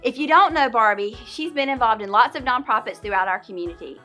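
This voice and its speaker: A woman's voice: on the high side, fast, sharp tone, soft background music. A woman co-hosting an awards program, introducing honorees in an enthusiastic, informal and emphatic conversational way.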